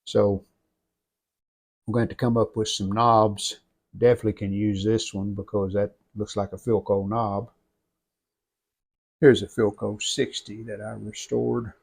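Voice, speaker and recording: A man giving an unscripted hands-on restoration walkthrough in plainspoken, halting short phrases. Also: on the low side, level tone, vocal fry, breathy edge. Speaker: radio restorer presenting own channel.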